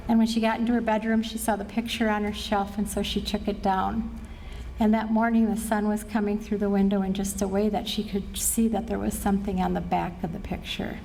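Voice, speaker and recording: A middle-aged woman with an American accent giving an earnest, measured training presentation to a group as a presenter. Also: medium pitch, creaky, dark tone, a little hiss or hum, low-quality recording. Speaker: suicide prevention trainer and presenter. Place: presentation room at a care facility.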